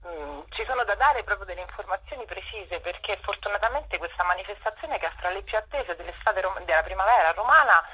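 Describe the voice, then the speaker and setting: A woman's voice: medium pitch, expressive, pushed and bright, husky. A woman explaining things hesitantly as an interview guest on a dull radio phone-in. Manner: hesitant, explanatory, with drawn-out pauses. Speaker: festival presenter, interview guest.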